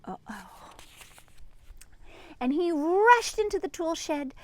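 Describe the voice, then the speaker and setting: A woman reading a children's story aloud in an expressive, teacherly way, with chatty asides and questions to young listeners. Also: very high-pitched, slow, background noise. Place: outdoors in a garden.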